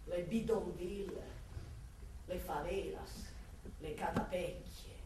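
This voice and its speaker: An actress playing a sardonic, brooding police detective in a theatrical radio drama monologue. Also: female voice, on the low side, steady tone, takes it slowly. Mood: brooding, bitter. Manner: dramatic, shifting from snappy retorts to pensive musing. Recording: distant, very echoey room, faint noise.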